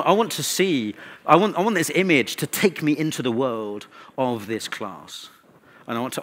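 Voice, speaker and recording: A man's voice: medium pitch, a bit bright, husky. Man giving an informal conference talk. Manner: informal, conversational, with wry asides. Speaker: conference speaker.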